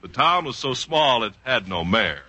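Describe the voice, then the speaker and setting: A man's voice: medium pitch, very animated, normal speed, sharp tone, slightly rough, full character voice. A man playing the narrator of a mock biography in a 1940s radio comedy, with dry, mock-grand scripted storytelling. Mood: wry, mock-grand. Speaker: sketch narrator. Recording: faintly noisy 1940s radio recording.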